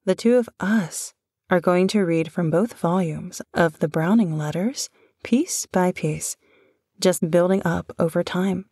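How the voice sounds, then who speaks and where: A woman with a lowish, breathy, husky voice speaks slowly and gently into a close microphone. She sounds affectionate and dryly amused. The recording is dry and intimate. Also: dry recording. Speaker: voice actor. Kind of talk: intimate audio roleplay.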